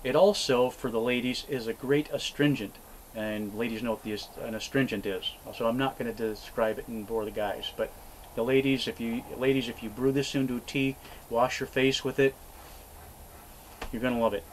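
A man's voice: medium pitch, steady tone, normal speed, rough recording. An older man in his sixties, chatting casually to camera from an outdoor cabin porch in a rambling, folksy way with plenty of fillers. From Alaska. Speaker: homesteading vlogger. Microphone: camera mic at close range. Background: faint outdoor noise, passing airplane.

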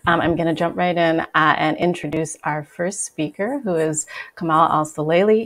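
A woman in her forties, moderating a panel and introducing a guest in an unhurried way over a video call at home. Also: low voice, gravelly. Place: room at home.

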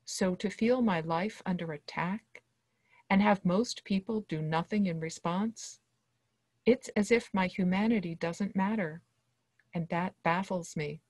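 A woman's voice: on the low side, measured pace, a little mellow, dry recording. A woman in her sixties, a professor and guest reader, presenting and reading a personal monologue aloud over a video call. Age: 60s. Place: small dim wood-walled room indoors.

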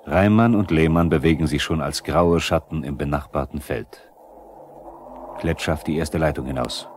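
A very deep male narrator in a 1970s radio play. He is sober and probing, putting questions to the characters over clear background noise. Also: moderate pace.

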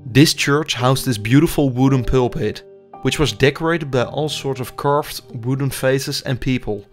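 Young man, measured documentary voice-over, middle pitch, faint background music. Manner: measured, explanatory delivery. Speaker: urban explorer narrator. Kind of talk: scripted documentary narration.

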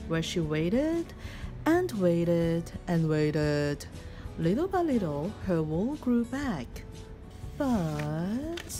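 A woman reading a children's picture book aloud in an expressive, deliberate storytelling manner. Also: on the low side. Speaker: storybook reader.

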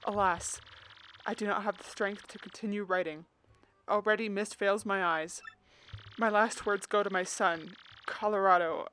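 A woman's voice: medium pitch, moderate pace, slight background noise, close to an everyday voice, rough recording. A woman voicing a timid, earnest young male turtle in a fan dub of a video game, reading a letter and yelping in panic. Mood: solemn reading. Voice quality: earnest, excitable, gets loud when startled. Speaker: fan voice actor.

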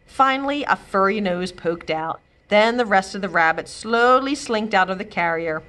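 A woman librarian reading a children's chapter book aloud with expressive, animated storytelling. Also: mid-range voice, normal speed. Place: library, indoors.

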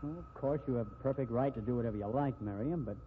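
A man's voice: medium pitch, fast, slight background noise, natural voice. An actor playing a disapproving, well-dressed society man in a 1950s radio drama, skeptical and indignant. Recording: tinny 1950s radio recording.

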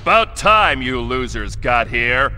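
An actor playing a cocky, menacing supervillain thug in a video game, taunting and theatrical. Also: male voice, medium pitch, very animated, a little slow, bright, forceful tone, full character voice. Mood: taunting menace. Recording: long hall reverb, faint noise.

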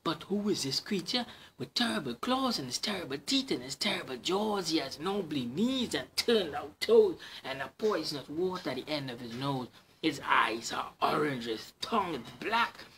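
A man in his thirties with a Trinidadian accent, telling a picture-book story theatrically, with hushed, drawn-out phrases. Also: on the high side, lively intonation, a little hiss or hum, rough recording. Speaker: children's storyteller. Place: small room at home.

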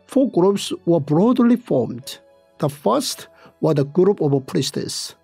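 A narrator reading a scripted Bible study in a measured, deliberate way. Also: medium pitch, very animated, vocal fry, mellow, slightly rough, dry recording, soft background music.

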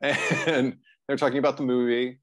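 A man in his forties speaks as a co-host in a relaxed, conversational film discussion. His pitch sits in the middle range. He is talking from a room at home over a video call. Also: moderate pace. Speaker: podcast co-host discussing horror films.

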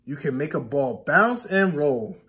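A man's voice: on the high side, sing-song, unhurried, a bit bright. A man in his thirties reading a picture book aloud to young children, stressing key words and putting questions to them. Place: indoor classroom.

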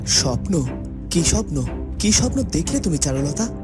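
A man's voice: a little high, big pitch swings, normal speed. A man voicing an earnest, wondering young prince in a cartoon fairytale, with a questioning manner. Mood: astonished. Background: music.

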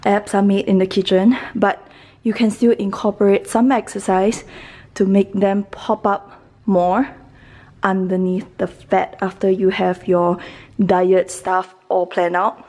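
A woman's voice: mid-range voice, flat delivery, measured pace, a little mellow. Young woman vlogger chatting at the camera, casual, says like a lot, big gym room. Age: young adult. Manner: chatty, loose, with frequent fillers. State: tired after a workout. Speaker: fitness vlogger. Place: large empty indoor gym studio.

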